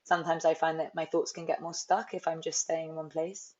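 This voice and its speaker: Young london woman, author guest, thoughtful and rambly, lowish voice, kinda muffled audio. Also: level tone, brisk, darkish tone. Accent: London English. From London, England. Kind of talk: reflective interview talk. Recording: slightly dull, lo-fi audio.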